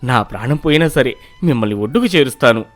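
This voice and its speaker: A humble, brave boatman from an animated storybook, voiced by a man. He speaks politely and earnestly, with a lively, rising and falling voice. Dry, close recording with faint music behind. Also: mid-range voice, quick pace, no room sound, lightly acted. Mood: resolute. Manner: deferential, earnest.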